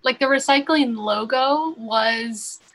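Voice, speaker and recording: A woman speaks haltingly in a casual group discussion, with many fillers. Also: fairly high pitch, fairly bright tone. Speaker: discussion participant.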